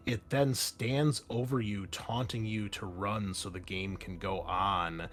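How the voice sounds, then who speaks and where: A man's voice: on the low side, steady tone, takes it slowly, fairly bright tone. A man running a tabletop roleplaying game as host, shifting between conversational rulings and dramatic descriptive narration.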